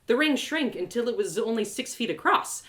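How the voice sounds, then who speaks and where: A woman reading a first-person story aloud with expressive, playful, dramatic delivery. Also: on the high side, normal speed. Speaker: author reading a story live.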